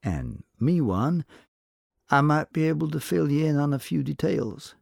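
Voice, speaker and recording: A man's voice: on the high side, animated, unhurried, vocal fry, a little mellow, no echo, studio quality, voice pushed up, exaggerated voice. Man doing a gossipy old-west barkeep. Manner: folksy, gossipy, drawling. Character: gossipy older male western barkeep. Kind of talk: storytelling.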